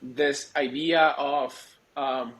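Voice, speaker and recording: A man's voice: medium pitch, fairly even intonation, very slow, a bit bright, low-quality recording. A man from Argentina, answering interview questions conversationally, searching for words as he explains. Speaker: startup executive, interview guest.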